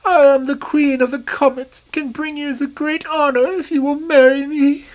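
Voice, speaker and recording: A woman voicing a wicked, imperious old fairy queen in a shrill, commanding voice. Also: steady tone, normal speed, lightly acted. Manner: shrieking, commanding.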